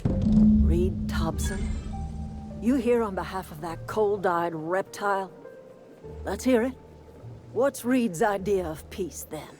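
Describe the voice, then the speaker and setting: A woman's voice: mid-range voice, slow pace, long pauses, over music, close to an everyday voice. An actress playing a kindly, plain-spoken older woman who leads a garden commune in a video game, with a folksy, motherly manner. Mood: scornful. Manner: folksy, motherly, calls people dear. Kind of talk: video game character acting.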